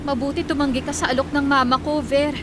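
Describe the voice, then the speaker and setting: An actress with a Filipino accent playing a bold, emotional young daughter in a radio drama. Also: female voice, high-pitched, level tone, fast, close to an everyday voice. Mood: casual.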